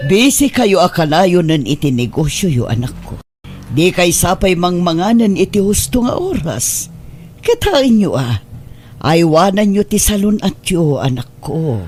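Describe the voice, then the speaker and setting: A woman with an Ilocano accent playing a caring, reproachful elderly mother in a radio drama, pleading and admonishing in a husky voice. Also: on the low side, sing-song, measured pace, darkish tone, soft background music. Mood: concerned, chiding.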